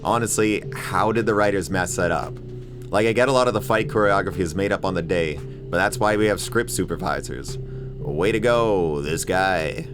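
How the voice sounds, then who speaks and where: Male video review host, low register, wry and mock-exasperated film commentary over a clear music bed. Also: moderate pace. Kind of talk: comedic movie commentary, semi-scripted.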